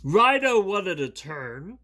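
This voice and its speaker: A man voicing a worried big elephant from a children's picture book, slow, loud and emphatic. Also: high voice, big pitch swings, pitched above the performer's own voice, full character voice. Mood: storytelling. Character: worried big elephant, storybook best friend.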